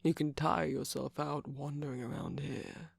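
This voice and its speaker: A man voicing a smug, teasing villain's henchman in a romantic ASMR roleplay, breathy and gravelly, drawling and dropping into whispers close to the mic. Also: on the high side, steady tone, normal speed, no echo, heavily acted. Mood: resigned, smug. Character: teasing, jaded, smug male villain's minion cookie. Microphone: close microphone, dry sound.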